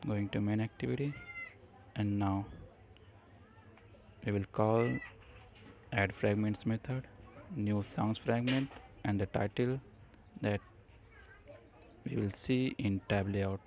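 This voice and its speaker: Slow, mellow man giving a coding tutorial. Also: on the low side, steady tone, a little hiss or hum. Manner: halting, short clipped phrases. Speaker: programming tutorial presenter. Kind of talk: unscripted screencast coding tutorial.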